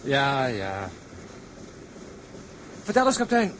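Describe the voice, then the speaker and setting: A man's voice: medium pitch, huge pitch swings, slow pace, fairly bright tone, a little hiss or hum, heavily acted. A man playing a young, loyal, hopeful tugboat crewman in a radio drama, with a respectful, eager manner. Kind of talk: Dutch radio drama acting.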